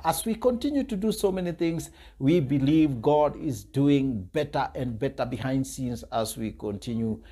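A man's voice: medium pitch, darkish tone, slightly rough. A man in his thirties hosting a church channel, talking to camera in an emphatic, preacherly way with many fillers. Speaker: host. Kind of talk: commentary to camera. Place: small indoor studio.

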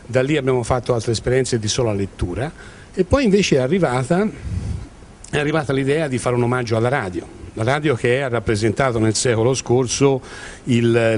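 A middle-pitched man as an interviewee from a theatre company. He answers informally and repeats words while searching for the next one. A handheld microphone picks up some faint ambient noise. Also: a little mellow. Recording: clearly noisy room ambience.

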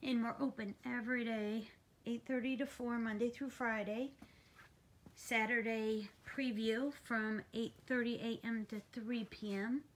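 A woman's voice: on the high side, fairly even intonation, a little slow. A woman presenting for an auction house, narrating an informal, unscripted walk-through video on a handheld phone inside a large warehouse. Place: large furniture warehouse, indoors. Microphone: handheld phone camera, speaker behind it.

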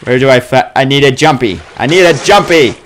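A man doing casual let's play commentary, excitable and exclamatory, with swearing and silly made-up words. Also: mid-range voice, fairly quick. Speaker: gamer playing a first-person shooter. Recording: game gunfire and noise behind voice. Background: video game combat sounds.

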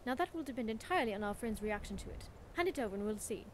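A woman's voice: a little high, expressive, very fast, slight background noise, close to an everyday voice. A woman playing a haughty, witty scholar-adventurer in a video game, speaking in a smug, articulate and wry way. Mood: gloating. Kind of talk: video game character dialogue.

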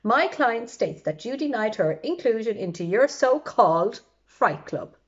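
An officious storybook fox lawyer, acted by a woman reader. The fox speaks formally and challengingly, with lively intonation on a slightly muffled recording. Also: on the high side, unhurried.

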